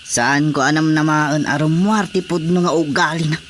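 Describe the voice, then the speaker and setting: A man's voice: a little high, fairly even intonation, normal speed, fairly bright tone, lightly acted. A man playing an overworked, fed-up husband in a radio drama, grumbling plaintively in an Ilocano accent. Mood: weary, exasperated. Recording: faint background noise.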